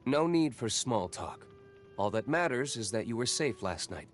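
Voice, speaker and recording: An actor playing a brooding, aloof young nobleman vigilante in a video game, with a terse, guarded, measured manner. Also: medium pitch, very animated, soft background music, lightly acted. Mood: guarded, reserved. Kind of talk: scripted video game character lines.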